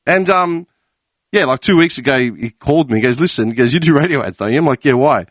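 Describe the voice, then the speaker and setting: A man's voice: medium pitch, lively intonation, quick pace, a bit bright, dry recording, studio quality. A man with an Australian accent telling a story on a casual podcast, swearing and acting out what others said. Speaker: podcast panelist. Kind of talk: casual chat.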